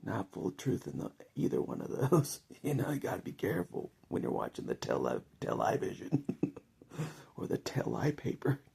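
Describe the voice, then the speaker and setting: American male vlogger, very husky and gravelly, hugely animated pitch, rambling and jokey with frequent chuckles. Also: moderate pace. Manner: chuckles often, jokey, conversational. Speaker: vlogger giving political opinion. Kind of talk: casual rambling monologue to camera.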